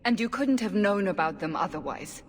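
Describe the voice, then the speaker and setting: A woman's voice: mid-range voice, expressive, moderate pace, big-room sound, natural voice. A woman playing a stern, suspicious female interrogator in a video game, terse and sceptical.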